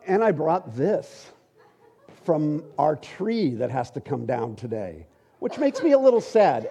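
Warm, husky-voiced man giving a sermon. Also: mid-range voice, lo-fi sound. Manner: relaxed, joking, halting asides. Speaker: church teacher. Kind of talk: conversational sermon.

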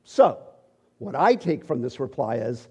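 A middle-aged man teaching at a church, relaxed and joking, with halting asides. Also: mid-range voice, breathy edge. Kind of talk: conversational sermon.

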